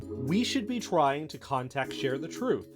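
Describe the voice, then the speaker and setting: A man's voice: a little high, big pitch swings, unhurried, music in the background. A man playing a defensive conspiracy-minded guy. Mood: eager. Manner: insistent, defensive, voice jumping up when accused. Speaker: investigator in audio drama. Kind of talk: audio drama acting.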